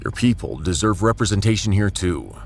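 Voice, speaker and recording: A man's voice: deep voice, expressive, a little fast, a little hiss or hum. A man playing a firm, wry starship captain with a dry, authoritative manner in a sci-fi audio drama. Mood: wry, commanding.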